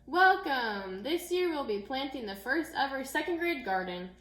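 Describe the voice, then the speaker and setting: A woman puts on an encouraging primary school teacher from a picture book. The voice is a little high, cheerfully announcing and gently instructing, with room echo around it. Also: big pitch swings, normal speed. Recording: echoey room.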